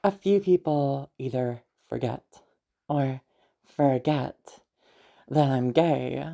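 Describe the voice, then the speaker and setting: A woman playing a protective, easygoing big sister in an intimate audio roleplay, speaking in a halting, reassuring way with sighs and casual swearing. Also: a bass voice, gravelly, dark tone, full character voice. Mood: sheepish, relieved. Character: protective, mysterious, easygoing big sister escorting a new acquaintance.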